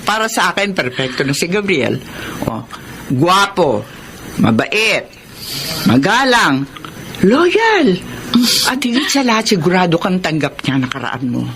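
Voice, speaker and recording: Animated, doting Filipino mother. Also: fairly low pitch, unhurried, long pauses, slight background noise. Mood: enthusiastic. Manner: coaxing, motherly, emphatic. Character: doting, matchmaking mother of a grown daughter. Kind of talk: Filipino radio drama acting.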